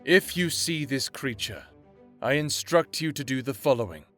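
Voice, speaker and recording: A man's voice: medium pitch, animated, normal speed, fairly bright tone, faint music behind, natural voice. A man playing a pompous, self-righteous general giving a formal, declamatory televised address in an audio drama. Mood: self-assured.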